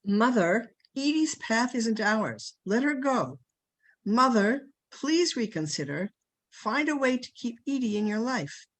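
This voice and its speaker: A woman's voice: a little high, very animated, slow, full character voice. A woman playing a loyal, distraught sister in a radio play, imploring with open emotion.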